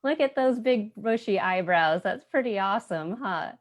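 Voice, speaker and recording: A woman's voice: mid-range voice, lively intonation, moderate pace. A woman hosting a community storytime, speaking casually and encouragingly straight to the viewers. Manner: encouraging, addresses viewers directly. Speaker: host of a community storytime program. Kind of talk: casual presenting to online viewers.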